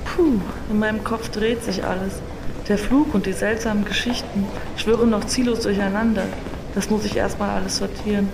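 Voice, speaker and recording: A woman's voice: medium pitch, fairly even intonation, normal speed, lightly acted. An actress playing a tired, polite and sensible woman traveller in an audio drama. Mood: weary. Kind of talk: audio drama acting, conversational. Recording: clear ambient noise behind the voice.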